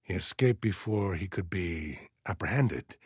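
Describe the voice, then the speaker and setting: A stern, God-fearing militia colonel for a video game. His husky voice rises and falls expressively as he hands down solemn, measured orders. The recording is dry and close, keeping every clipped command stark. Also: deep voice, lively intonation, no room sound, heavily acted. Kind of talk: dramatic video game voice acting.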